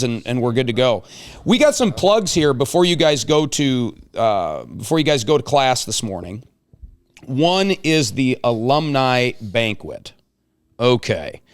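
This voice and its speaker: A man's voice: medium pitch, animated, measured pace, clean tone. A man hosting a casual talk show, chatting with guests in a playful, joking way. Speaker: show host.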